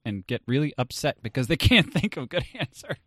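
A man's voice: medium pitch, very animated, fairly quick, a bit bright, no echo, studio quality. Casual bantering man hosting a podcast. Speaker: podcast host.

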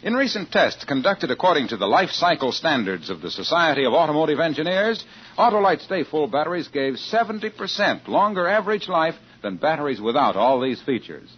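A man's voice: a little high, sing-song, a little fast, breathy edge. A man announcing a sponsor's message in the style of a 1940s radio commercial. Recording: muffled old radio recording, faint noise.

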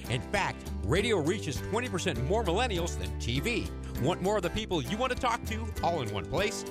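Higher-pitched, animated male ad voice. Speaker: advert announcer. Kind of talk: conversational scripted radio advert. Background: music.

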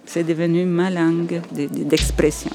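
A woman tells her own story in French. She is reflective and pauses often, and a soft bed of music runs under her voice. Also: on the low side, little pitch movement, creaky. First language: Spanish. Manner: reflective, with hesitations and laughs. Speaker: interview guest. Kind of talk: personal storytelling in a podcast interview.

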